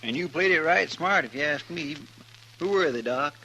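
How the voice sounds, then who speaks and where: An actor playing an earnest, loyal, plain-spoken marshal's sidekick in a 1950s radio western, with a rural American accent. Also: male voice, a little high, lively intonation, moderate pace, pushed and bright. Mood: curious, pressing. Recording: noisy old radio recording.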